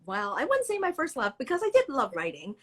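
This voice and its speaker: A woman's voice: high-pitched, wildly swooping pitch, brisk, husky. A middle-aged woman, a children's book author whose first language is Cantonese, chatting animatedly and laughing often in a live interview. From Canada. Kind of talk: casual live interview chat.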